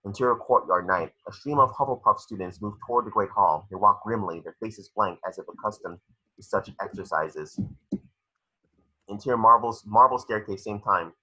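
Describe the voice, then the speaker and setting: Deep, forceful, raspy man narrating. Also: normal speed, highs cut off. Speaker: screenplay narrator. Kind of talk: scripted narration.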